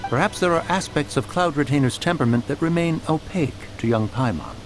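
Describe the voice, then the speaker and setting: Proud refined immortal from a game, lofty old-time wording, lively pitch, music behind. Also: male voice, fairly quick. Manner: archaic, formal, lofty, expressive. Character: proud, touchy, refined ancient male adeptus in human form. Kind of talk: English video game dub acting. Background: background music.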